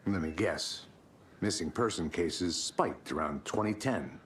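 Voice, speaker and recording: A man's voice: low voice, lively intonation, a little slow, slight background noise. An actor playing a dry, terse male FBI agent in a game cutscene.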